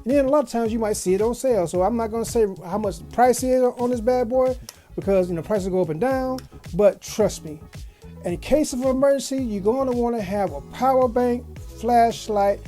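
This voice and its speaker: A woman's voice: a little high, normal speed, mellow, faint music behind. A woman giving a casual product review as a video host, in a folksy, slangy way with repeated phrases. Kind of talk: casual unboxing review chat. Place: small home office studio.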